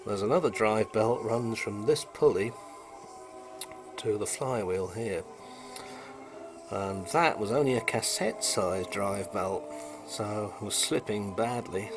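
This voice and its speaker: A British man casually talking through a repair, unscripted, in a rambling, wryly understated way. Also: on the low side. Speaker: hobbyist repairer and presenter. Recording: noisy amateur camera sound.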